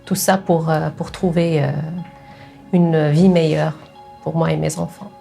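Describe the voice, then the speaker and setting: A middle-aged woman with an Algerian accent giving personal testimony in a hesitant way, with frequent pauses. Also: sits low, little pitch movement, creaky, warm tone, faint music behind. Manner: hesitant, pausing often. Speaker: documentary interviewee, sales assistant.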